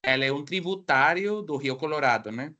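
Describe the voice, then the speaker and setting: A man with a Mexican Spanish accent, a native Spanish speaker, giving an academic seminar in a second language over a video call and pausing often to search for words. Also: a little high, lively intonation, bright, forceful tone, muffled recording. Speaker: guest speaker.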